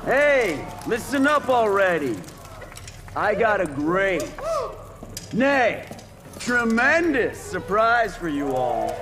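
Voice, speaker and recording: Pompous tycoon, slow and grandiose. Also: male voice, sits high, sing-song, heavily acted. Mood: boastful, rousing. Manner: pompous, grandiose, showy announcing. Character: boastful, egomaniacal male wasteland tycoon, slick showboater. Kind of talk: theatrical video game character acting.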